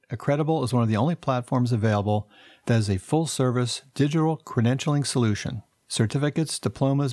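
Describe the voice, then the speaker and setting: A man's voice: medium pitch, darkish tone, no room sound, studio quality. A man hosting a podcast, reading the intro and sponsor notes, then asking friendly questions with fillers. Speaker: podcast host.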